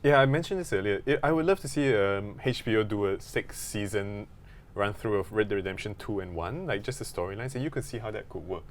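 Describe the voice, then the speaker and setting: A man's voice: fairly low pitch, lively intonation, a little fast. A man co-hosting a podcast, thinking aloud in casual discussion, with faint noise in the background. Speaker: podcast co-host.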